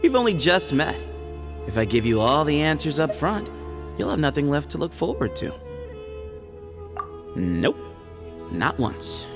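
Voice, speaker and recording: A sly, breezy young man for a video game, with a lively, swooping delivery. His voice has a creaky edge over faint music, and he sounds teasing, casual and evasive. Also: fairly high pitch, unhurried, long pauses, heavily acted. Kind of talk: game dialogue.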